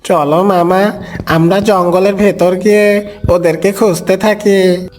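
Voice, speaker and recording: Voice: medium pitch, steady tone, slow pace, a little mellow, lightly acted. A performer voicing a hungry, scheming fox in a cartoon story, with an urging, wheedling manner.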